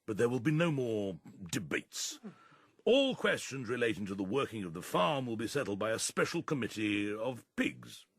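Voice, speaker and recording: An actor playing a stern, menacing pig who rules a farm as dictator, gruff and commanding, in a radio drama. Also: male voice, medium pitch, sing-song, normal speed, dry recording, studio quality, heavily acted. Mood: coldly commanding.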